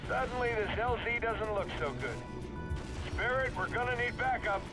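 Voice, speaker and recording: A man's voice: extremely high pitch, normal speed. A man playing a wisecracking marine sergeant in a military sci-fi game, sardonic and clipped. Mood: urgent. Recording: loud music and vehicle noise behind.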